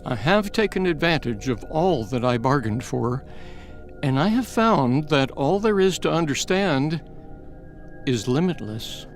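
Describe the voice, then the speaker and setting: A man's voice: fairly high pitch, animated, unhurried, soft background music. A man playing a fearless elderly scholar in an audiobook, speaking with eloquent, theatrical mockery. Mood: calm, proud. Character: elderly learned scholar, fearless, wry and taunting. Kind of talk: dramatized audiobook acting.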